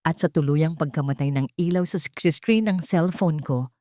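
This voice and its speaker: Low-voiced woman narrating a confessional drama. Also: moderate pace, a little mellow, no room sound, clean studio sound. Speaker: story narrator.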